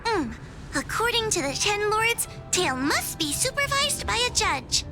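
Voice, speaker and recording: Timid young girl, video game; squeaky-high, earnest and trembly, music clearly behind. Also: big pitch swings, measured pace, exaggerated voice. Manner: meek, tremulous, hesitant. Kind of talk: video game character acting.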